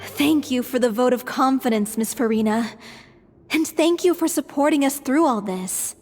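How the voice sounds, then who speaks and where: An actress voicing an earnest young stage actress in a theatre troupe, polite and sincere. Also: female voice, high-pitched, normal speed, a little mellow, in a large hall, soft background music, close to an everyday voice. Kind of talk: video game cutscene voice acting.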